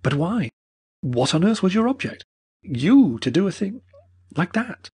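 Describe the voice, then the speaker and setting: An indignant museum curator bursts out in loud, slow, outraged exclamations, as a man puts him on. His husky voice leaps far above the narrator's usual pitch in this storybook reading. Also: very animated, exaggerated voice. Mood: incredulous.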